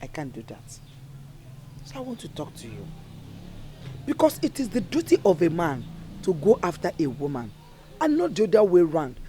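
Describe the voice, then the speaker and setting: A woman's voice: fairly low pitch, wildly swooping pitch, moderate pace, pauses often, warm tone, slight background noise. An actress playing a worried middle-aged mother, lecturing her daughter earnestly.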